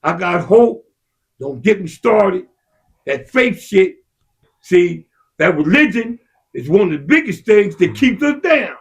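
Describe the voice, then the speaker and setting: A man in heated casual discussion, impassioned and profane, his voice rising to shouts. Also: high voice, very animated, slow, gravelly, pauses often, slightly rough. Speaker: podcast co-host.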